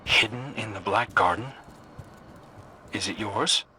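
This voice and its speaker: A man's voice: lowish voice, unhurried, bright, forceful tone, slight background noise. An actor playing a loyal young male ally in a sci-fi video game, guiding a warrior through missions in an earnest, wry, conversational way. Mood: wary, probing. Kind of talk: video game mission dialogue over comms. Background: game music.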